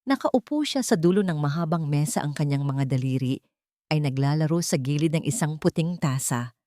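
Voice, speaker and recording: A woman reads a scripted romance drama as its narrator. Her voice is a little low, and the delivery is dramatic storytelling. The recording is dry, with little room sound. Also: animated, normal speed, warm tone, dry recording.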